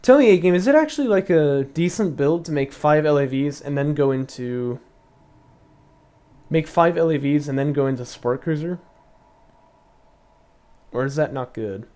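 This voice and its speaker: A young man streaming and explaining strategy in a casual, chatty way, thinking aloud with fillers and laughs; his voice is slightly raspy from long talking. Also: a little high, moderate pace, long pauses, warm tone. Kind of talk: casual live-stream commentary.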